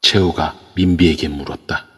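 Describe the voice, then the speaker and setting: A man narrating an audio drama in a steady storytelling way. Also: a bass voice, moderate pace, breathy edge. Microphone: phone in an online voice room. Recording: long reverb on a voice chat app.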